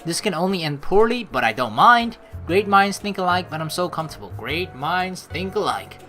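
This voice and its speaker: A woman hosting a let's play, reading game narration aloud and adding casual, expressive, joking commentary. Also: fairly low pitch, moderate pace. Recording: soft game music behind. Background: game music.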